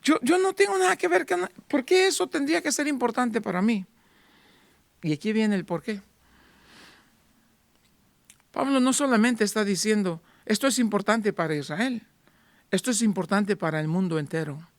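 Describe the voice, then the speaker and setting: High-voiced man preaching a sermon. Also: animated, normal speed, vocal fry, pauses often, no room sound. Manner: rhetorical questions, voicing doubting listeners. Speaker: pastor.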